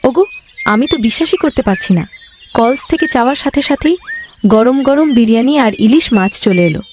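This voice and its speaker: Casting: female actor as excitable, coaxing village wife for a cartoon, high voice, faint birdy ambience. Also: brisk, slight background noise, close to an everyday voice. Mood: amazed. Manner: excitable, wheedling. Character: eager, excitable poor village wife wanting more. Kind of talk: cartoon voice acting.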